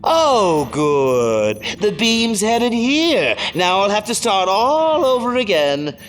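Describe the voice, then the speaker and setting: Crazy gloating clown supervillain for an audio drama, super animated, mocking, music behind him. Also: male voice, high-pitched, slow, full character voice. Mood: exasperated, mocking. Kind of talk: audio drama villain acting.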